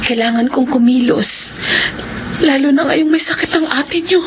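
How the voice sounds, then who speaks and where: A woman's voice: sits high, moderate pace, natural voice. An actress playing a loving, determined middle-aged mother in a Filipino radio drama, earnest and emotional, with a Filipino accent. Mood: resolute, tender. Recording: dull, noisy radio drama audio.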